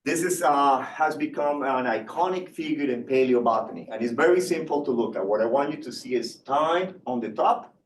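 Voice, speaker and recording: Man from Colombia, seminar lecture, halting with restarts, faint room noise. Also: mid-range voice, lively intonation, roomy sound, distant mic. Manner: hesitant, many fillers and restarts. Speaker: guest lecturer.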